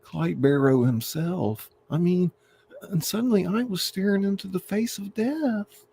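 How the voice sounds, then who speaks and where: Man putting on an excitable, shaken bank guard, pitched up high. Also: measured pace, creaky, slight background noise. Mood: shaken, boastful. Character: excitable, shaken old-time bank guard recounting a robbery. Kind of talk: screenplay reading in character.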